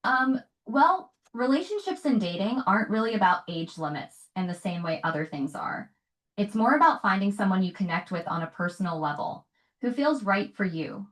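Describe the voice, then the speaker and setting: A woman speaking calmly and evenly, paraphrasing what she hears and asking follow-up questions. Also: mid-range voice, rough recording. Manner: calm, measured, paraphrases and asks follow-up questions. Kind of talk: conversational advice.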